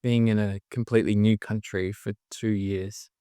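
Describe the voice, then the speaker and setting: Smooth-voiced male podcast host. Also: lowish voice, little pitch movement, moderate pace, dark tone, no room sound, studio quality. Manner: friendly, encouraging questions. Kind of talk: podcast interview.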